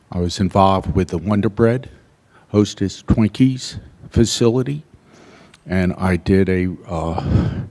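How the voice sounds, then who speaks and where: A soft-spoken man at a podium microphone, giving a public comment at a council meeting with plain, measured speech and some fillers. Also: fairly low pitch.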